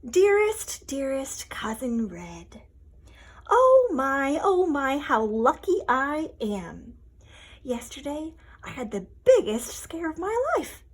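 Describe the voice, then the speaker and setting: Storybook hen, female reader: high, unhurried, expressive, chatty, shaken yet relieved. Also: exaggerated voice. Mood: amazed, relieved. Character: relieved, chatty mother hen writing a letter, still shaken. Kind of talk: dramatic storybook read-aloud.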